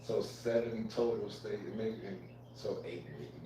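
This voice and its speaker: A man's voice: on the low side, fairly even intonation, a little slow, dark tone, slight background noise. A man moderating a live panel, asking probing questions and clarifying points. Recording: echoey room from far off.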